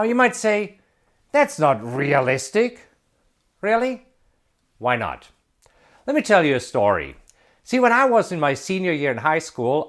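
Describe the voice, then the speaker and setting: A man in his forties giving an emphatic motivational talk, asking rhetorical questions and building excitement. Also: on the high side. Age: 40s. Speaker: self-help video presenter.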